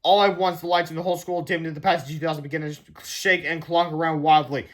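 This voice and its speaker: A man reads a children's book aloud. His voice is clearly high for a man, and the delivery is scripted storybook narration. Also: fairly even intonation, fast, a bit bright. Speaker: storybook reader.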